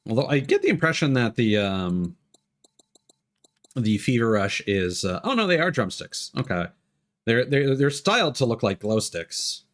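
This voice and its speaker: A man giving casual live gameplay commentary as a streamer, explaining things haltingly and thinking aloud. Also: medium pitch, very animated. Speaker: game streamer. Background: game music.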